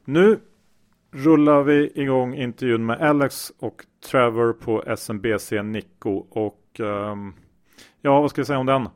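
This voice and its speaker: A man hosts a podcast in a relaxed, casual way, with frequent filler sounds. His voice sits in a middle pitch. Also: measured pace. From Sweden. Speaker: podcast host.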